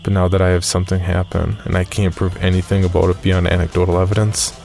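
A man narrates a horror story in a low, creaky voice. His delivery is grave and even, with music clearly behind it. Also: fast.

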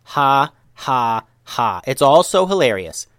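A man's voice: medium pitch, sing-song, a little fast, no echo, natural voice. A bold young ninja heroine from a children's storybook, acted by a man. She is earnest and commanding, with emphatic, swooping delivery in a dry sound. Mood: exasperated.

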